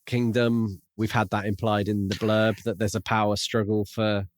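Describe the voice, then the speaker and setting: A man's voice: lowish voice, flat delivery, moderate pace, no echo. A man co-hosting a podcast, talking casually about books with thoughtful, tentative phrasing. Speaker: podcast co-host. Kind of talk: casual book discussion.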